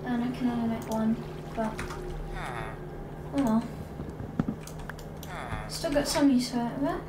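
A woman with a fairly high voice talks slowly through her game, thinking aloud as she plays. She sits away from the mic in an echoey room, and loud noise runs under her voice. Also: distant mic. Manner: chatty, thinking aloud while playing. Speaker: gaming channel host. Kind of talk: Minecraft let's play commentary.

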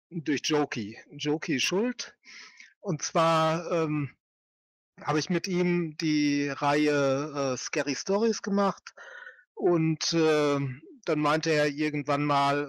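A man answering casually as a podcast guest, halting and hesitant as he talks. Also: fairly high pitch, a bit bright, dry recording. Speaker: podcast guest, audio drama author. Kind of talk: casual interview talk.

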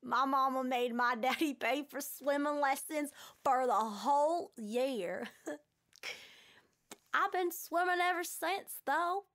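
Woman as a slow-talking Southern country girl. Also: sits high, a bit bright, exaggerated voice. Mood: amused, folksy. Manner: folksy, storytelling. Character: folksy, good-humored Southern country girl.

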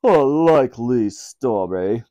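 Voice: medium pitch, big pitch swings, a little slow, dark tone, voice pushed up. A reader voicing a stately elderly Queen in clipped, formal tones for a children's storybook. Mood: stern, disapproving.

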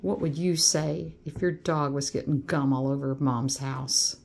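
Slow-talking woman coaching a young reader. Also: very deep voice, vocal fry, slight background noise. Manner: expressive, exclaiming reactions and coaxing questions. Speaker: reading tutor coaching a child. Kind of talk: casual, encouraging reading lesson. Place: indoors at a home dining table.

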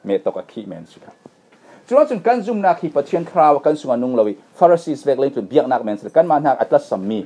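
Impassioned husky male preacher, wildly swinging pitch. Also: sing-song, fast talker. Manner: impassioned, emphatic, swinging between loud and quiet. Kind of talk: sermon to a congregation.

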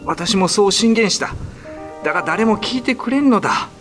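An anguished, pleading village husband over music. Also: male voice, high-pitched, lively intonation, moderate pace, fairly bright tone. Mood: grim, distressed. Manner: earnest, pleading, heavy-hearted. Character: anguished village husband Sanraa, dutiful and resigned. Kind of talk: Japanese ghost-story radio drama acting.

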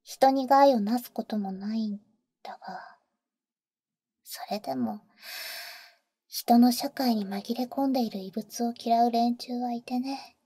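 A woman playing a teasing, protective vampire big sister in an ASMR roleplay, speaking in an intimate, soft whisper close to the mic with cool, boyish phrasing. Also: on the high side, a little slow, pauses often, breathy edge, no room sound. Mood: serious, explanatory. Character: teasing, protective female vampire, older-sister type to a boy. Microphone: close microphone, dry.

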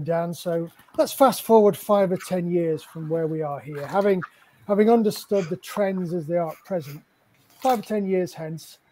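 A man's voice: sits high, mellow, slight background noise, low-quality recording. A middle-aged man moderating an online panel over a video call, with long, wordy questions. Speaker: panel host. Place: indoor room at home, book-lined.

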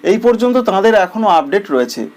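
A man's voice: sits high, very animated, fast, darkish tone. A man presents a weather bulletin in an explanatory, emphatic way, repeating phrases for clarity. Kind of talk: semi-scripted weather bulletin narration.

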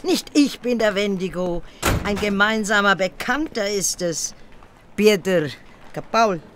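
A woman's voice: mid-range voice, lively intonation, a little slow, background noise, natural voice. An actress in an audio drama playing a sturdy, firm and formal policewoman.

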